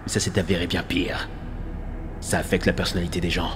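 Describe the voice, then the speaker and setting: A man voicing a grave, weary spaceship crew leader in a dramatic animated film dub, speaking in a measured, ominous way. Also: deep voice, music in the background, natural voice. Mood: ominous, serious.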